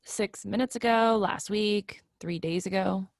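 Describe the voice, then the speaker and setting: A woman's voice: medium pitch, unhurried, gravelly. Woman playing a blunt, grungy twenty-five-year-old skeptic. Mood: skeptical, probing. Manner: blunt, questioning, clipped. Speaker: actor. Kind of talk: remote play reading, naturalistic casual dialogue.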